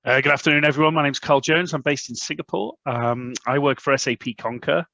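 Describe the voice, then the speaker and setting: A man with a mid-pitched voice introduces himself from a distance on a call. The sound is dry and dull, and he pauses with ums and uhs. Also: fairly even intonation, vocal fry, sharp tone, dry recording. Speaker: panelist, regional software lead. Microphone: video call.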